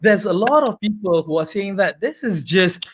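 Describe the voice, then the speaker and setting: High-voiced upbeat male talk show host. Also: animated, moderate pace, slight background noise. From Malaysia. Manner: upbeat and chatty, leading with questions. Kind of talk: casual interview chat.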